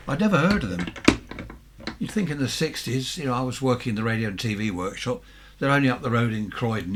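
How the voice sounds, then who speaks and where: A man in his seventies with a southern English accent and a husky voice, giving a chatty, rambling, unscripted show-and-tell as a hobbyist, often questioning himself. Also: fairly low pitch. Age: 70s. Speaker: amateur radio hobbyist presenting vintage equipment.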